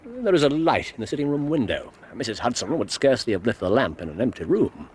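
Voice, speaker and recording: An actor playing a keen, self-assured Victorian detective with an English accent and a precise, theatrical manner in a radio drama. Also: male voice, mid-range voice, big pitch swings, quick pace, a little mellow, a little hiss or hum, natural voice. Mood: brisk, observant. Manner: precise, theatrical, teasing deductions.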